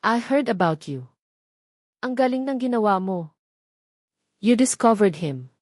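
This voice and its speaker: A woman's voice: mid-range voice, animated, unhurried, a little mellow, no echo, studio quality. A woman voicing a suave, condescending female celebrity who sizes up a rival in a dramatic story. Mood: smooth, probing.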